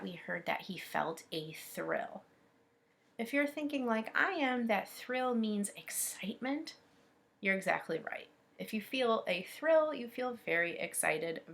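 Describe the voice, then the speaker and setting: A woman reading aloud as a teacher, expressive and instructive, pausing to explain things. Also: mid-range voice, vocal fry. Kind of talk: read-aloud lesson with commentary.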